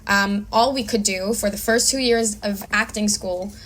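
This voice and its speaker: A woman with a mid-pitched voice talks casually as an interview guest. She is earnest and emphatic as she explains things. A light layer of background noise sits behind her. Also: fairly even intonation, moderate pace, a bit bright. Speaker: guest actor and filmmaker. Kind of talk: casual conversational interview answers.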